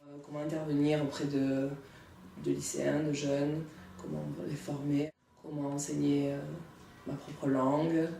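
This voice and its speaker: A former volunteer giving a casual testimonial in a measured way, pausing on fillers. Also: medium pitch, flat delivery, creaky, mellow, a little hiss or hum. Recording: distant mic in a very echoey room.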